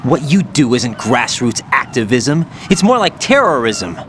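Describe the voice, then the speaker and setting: Young male game soldier: incredulous, insistent, swinging pitch, faint background noise. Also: sing-song, measured pace. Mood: skeptical. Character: young male soldier, idealistic, questioning, agitated. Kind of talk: dramatic video game acting.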